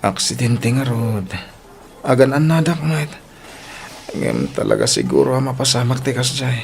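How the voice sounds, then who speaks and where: Man acting as a gravelly, apologetic Ilocano husband. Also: mid-range voice, very animated, normal speed, slight background noise. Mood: sheepish, regretful. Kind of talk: Ilocano radio drama acting.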